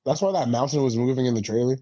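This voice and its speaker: A man's voice: medium pitch, racing, warm tone. A man co-hosting a podcast, chatting casually with slangy, profane informality. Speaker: podcast co-host. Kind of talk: casual chat.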